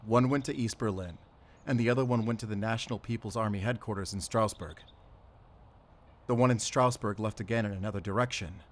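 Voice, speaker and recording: Man acting a low-voiced, weary monster hunter. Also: a little fast, close to an everyday voice. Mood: focused, deliberating. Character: weary, determined male German werewolf hunter. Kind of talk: cinematic audio roleplay acting, addressing a silent companion. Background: cinematic ambient sound effects.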